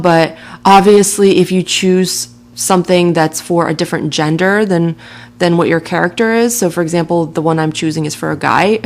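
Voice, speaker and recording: A woman with a slightly low voice walks through a software tutorial step by step. Her delivery is relaxed and conversational, with light laughs here and there. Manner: casual and chatty, with light laughs. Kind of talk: screen-recording tutorial narration.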